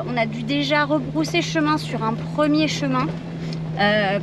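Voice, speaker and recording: A woman's voice: on the high side, very noisy. A woman in her thirties, talking casually in a travel vlog and calling out directions to a driver, outdoors and from inside a car. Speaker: vlogger guiding a 4x4 driver.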